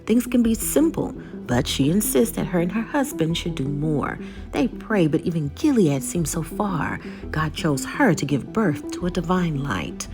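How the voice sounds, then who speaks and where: Low-voiced woman narrating over loud music. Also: very animated, unhurried. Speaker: YouTube host recapping a TV episode. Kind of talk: scripted recap narration.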